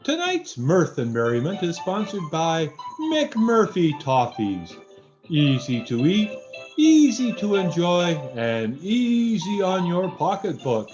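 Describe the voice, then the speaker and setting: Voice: mid-range voice, wildly swooping pitch, slow. An actor playing a genial old-time radio show announcer who savors the words of a scripted narration and sponsor plug. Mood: genial, theatrical. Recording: dull lo-fi sound with music behind.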